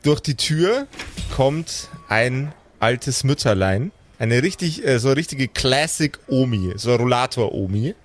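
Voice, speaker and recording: A man acting as game master, narrating an improvised story in a measured, descriptive way, searching for words now and then. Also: medium pitch, lively intonation.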